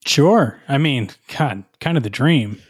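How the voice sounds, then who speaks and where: A man's voice: medium pitch, expressive, takes it slowly, husky, no echo, clean studio sound. A man hosting a podcast, interviewing a comedy character in a playful, wry way. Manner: playful, wry, goes along with the bit. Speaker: podcast host.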